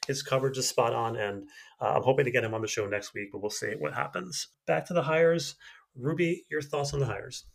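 A man's voice: medium pitch, brisk, gravelly. A man hosting a podcast, running through news in a conversational, informal way. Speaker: podcast host. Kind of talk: casual news rundown.

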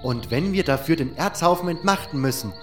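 Man voicing a resolute, higher-pitched young woman. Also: expressive, brisk, faint music behind, natural voice. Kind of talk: audiobook character voice.

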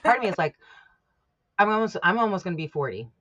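A woman's voice: fairly low pitch, very animated, fast talker, a bit bright. A woman in her late thirties hosting a podcast, joking at her own expense in casual chat. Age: 30s. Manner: playful, self-mocking, laughs easily. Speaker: podcast host. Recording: dull lo-fi sound.

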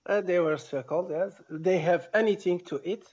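A man telling a story in halting English, pausing often to search for words. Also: high-pitched, dull audio. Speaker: guest storyteller.

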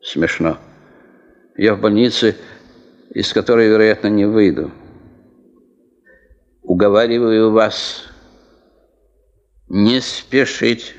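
An actor in a Soviet radio drama playing a gravely ill veteran geologist, a wry, philosophical man who talks in a measured, resigned way. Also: on the low side. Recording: muffled, long reverberant radio-play sound.

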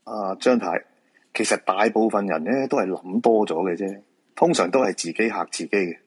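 A man's voice: on the low side, moderate pace, husky. A man playing a polite, patient feng shui master in a radio drama, explaining things reassuringly with a Hong Kong Cantonese accent. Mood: calm, reassuring.

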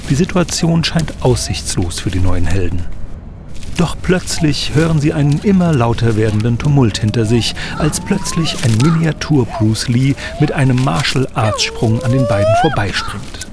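A male narrator tells a scripted audio-play story in a medium-pitched voice. Clear background noise runs behind the narration. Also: huge pitch swings, normal speed. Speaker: narrator and sound designer.

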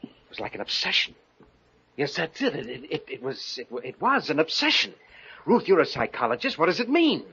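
An actor playing an anguished, newly married professor in halting, theatrical old radio thriller style, on a noisy vintage recording. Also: male voice, fairly high pitch, wildly swooping pitch, gravelly, sharp tone, dull audio, heavily acted. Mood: troubled, bewildered. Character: anguished new bridegroom, professor haunted by dark urges. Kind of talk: 1940s radio thriller acting.

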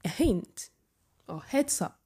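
A woman with a husky voice giving an opinionated vlog monologue, often restarting sentences and rising into emphatic outbursts. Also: medium pitch, very animated, slow, mellow. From Sweden. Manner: many restarts and filler words. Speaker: vlogger. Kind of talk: casual opinion monologue to camera.

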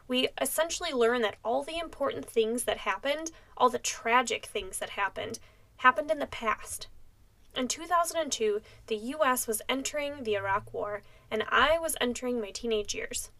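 Young american woman, podcast host reading a script, voice on the higher side. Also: normal speed, pushed and bright. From United States. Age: young adult. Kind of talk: scripted narration.

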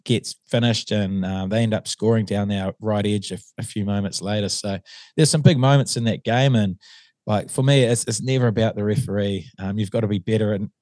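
A New Zealand man with a fairly low, slightly hoarse voice, chatting as a guest. He hesitates a lot, with ums and false starts. The sound is dry and close, with no room around it. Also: steady tone, a little fast, a little mellow, no echo. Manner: hesitant, many ums and restarts. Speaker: assistant rugby coach, guest. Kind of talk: casual interview chat.

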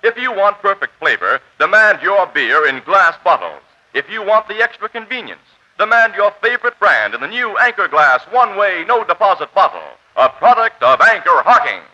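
A man's voice: high-pitched, big pitch swings, normal speed, bright, forceful tone. A man announcing a commercial in polished 1940s radio style. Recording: muffled vintage radio recording.

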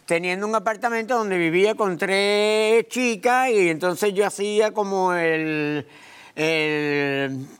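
A man from Puerto Rico, a comedian guest, telling stories in a rambling, halting way with many restarts. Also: high voice, fairly bright tone, clean tone. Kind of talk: casual interview chat.

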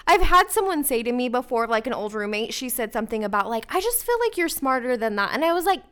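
A friendly woman in her twenties chats on a podcast, dropping "like" into her sentences. Her voice is bright, clear and a little high. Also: sing-song, fast talker. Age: 20s. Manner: friendly, chatty, says "like" a lot. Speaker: podcast guest. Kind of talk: casual podcast chat.

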